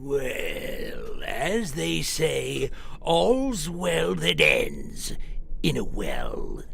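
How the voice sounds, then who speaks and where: A man's voice: medium pitch, huge pitch swings, vocal fry, fairly bright tone, slightly rough, voice pushed down, heavily acted. A man playing a ghoulish, eccentric museum curator who puns and stammers theatrically as a 1940s-style horror radio host. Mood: gleefully macabre. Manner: theatrical, halting, drawn-out delivery with stammering asides.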